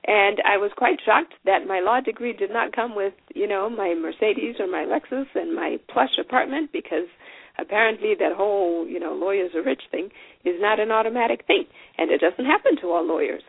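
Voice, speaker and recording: A woman's voice: mid-range voice, moderate pace, vocal fry, husky, no echo. Chatty woman host on a tinny line. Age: 40s. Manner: chatty, folksy, encouraging. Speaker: podcast host. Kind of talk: solo podcast talk. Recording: tinny phone-like sound.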